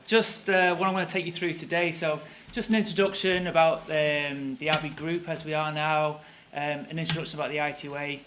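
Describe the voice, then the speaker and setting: Manchester man giving a talk, slightly high voice. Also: fairly bright tone, slight background noise. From England. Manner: conversational with frequent fillers. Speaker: tour operator presenter. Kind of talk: conference presentation. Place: conference room.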